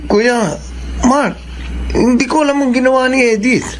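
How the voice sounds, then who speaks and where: A responsible young Filipino husband in a radio drama. His voice is high for a man, firm and earnest. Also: a little slow, a little hiss or hum, low-quality recording. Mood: firm, reproachful.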